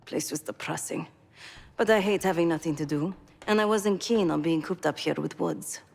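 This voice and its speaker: A self-possessed female mercenary in a game cutscene. Her voice is on the low side with a fry in it. She is dry and wry, heard in a long reverb over faint music. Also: moderate pace, a little mellow. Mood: dry, reflective. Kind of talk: video game cutscene acting.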